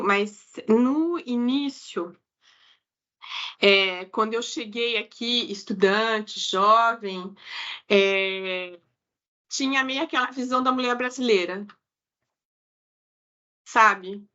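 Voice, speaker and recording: A Brazilian woman in her forties speaks with a Brazilian Portuguese accent and a bright, forward tone that sits a little high. She talks in a relaxed, hesitant way, often stopping to correct herself. The audio is dull and lo-fi, like a remote interview recording. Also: slow pace. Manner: hesitant, frequent restarts and self-corrections. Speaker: guest. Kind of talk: casual interview chat.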